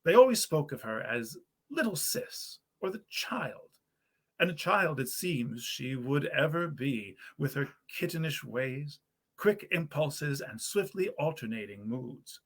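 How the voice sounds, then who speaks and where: A man with a slightly high voice reads old-fashioned literary fiction aloud. His delivery is theatrical and genteel, the expressive manner of an actor telling a period story. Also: measured pace. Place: period parlor in a historic house.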